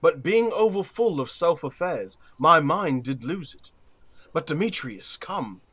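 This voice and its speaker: An actor playing a stately duke passing judgment, speaking Shakespearean verse in a formal, measured, authoritative manner. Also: male voice, on the high side, lively intonation, fairly bright tone, slightly rough, heavily acted. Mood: composed. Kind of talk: Shakespearean verse audio drama acting.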